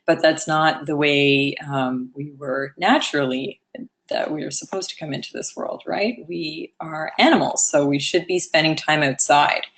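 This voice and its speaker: A woman presenting a health webinar in a casual, conversational way, with a low, rather lethargic-sounding voice and frequent ums. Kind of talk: educational webinar talk.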